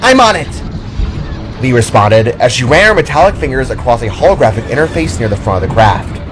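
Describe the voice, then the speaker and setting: A man's voice: mid-range voice, expressive, fast talker, over music. A man narrating a scripted audio drama in a dramatic storytelling manner.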